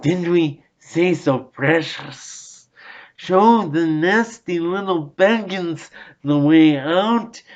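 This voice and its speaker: A man's voice: a little high, extremely slow, voice pushed up. A man voicing a wretched, sly cave creature who hisses and wheedles, in a dull lo-fi audiobook recording. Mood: wheedling. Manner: hissing, wheedling, talks to himself. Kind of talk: audiobook reading.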